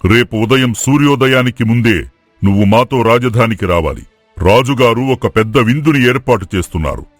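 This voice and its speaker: A man's voice: mid-range voice, lively intonation, moderate pace, dry recording, faint music behind, close to an everyday voice. A man playing a commanding royal envoy who speaks with grand authority in a moral story. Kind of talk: acted moral story dialogue.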